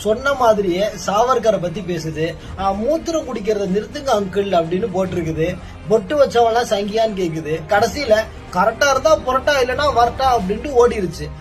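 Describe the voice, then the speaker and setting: A man's voice: high voice, expressive, fairly quick, faint music behind. A man with a colloquial Tamil Nadu accent making an informal, combative political rant in a mocking, challenging way. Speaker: political social media commentator.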